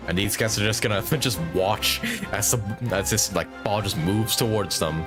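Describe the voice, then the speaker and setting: A man's voice: on the low side, normal speed, over music. A man doing casual live gameplay commentary, thinking aloud informally and repeating short phrases. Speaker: game livestreamer.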